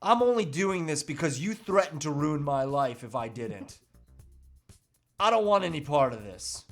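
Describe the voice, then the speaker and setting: A man's voice: on the high side, big pitch swings, moderate pace, fairly bright tone, soft background music. A man playing an anguished, reluctant family man in a comedic table read, earnest and a little whiny. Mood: grudging.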